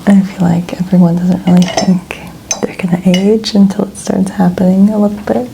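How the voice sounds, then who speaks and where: A woman in her twenties speaking in a soft, breathy near-whisper, chatting casually and gently as an ASMR host. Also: lowish voice, level tone, moderate pace, background noise. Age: 20s. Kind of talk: soft-spoken ASMR, casual personal chat.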